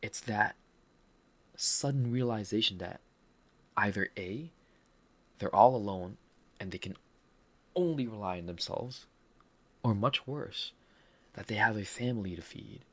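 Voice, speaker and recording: A man's voice: lowish voice, unhurried, pauses often. A man with a Filipino accent, hosting a podcast and speaking candidly and earnestly about a personal setback, with some self-deprecating humor. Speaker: podcast host. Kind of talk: personal reflective monologue.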